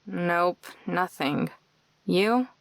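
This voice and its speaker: An actress playing a guarded young woman in a visual novel, dry and deadpan, with faint music underneath. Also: fairly low pitch, extremely slow, no room sound, full character voice. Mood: practical, cautious. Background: game music.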